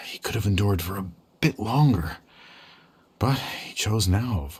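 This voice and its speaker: An actor playing a self-justifying young scholar in an anime video game, speaking with cold reproach. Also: male voice, on the low side, expressive, measured pace, slight background noise. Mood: reproachful.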